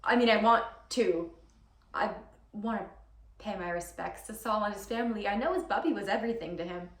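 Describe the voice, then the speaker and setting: A woman's voice: medium pitch, fairly quick, close to an everyday voice. A woman playing an earnest, nervous young girlfriend who rambles and corrects herself in a theatrical monologue. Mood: nervous, chatty. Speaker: actor performing a monologue. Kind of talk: theatrical comic-dramatic monologue. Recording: roomy, distant mic with background hiss.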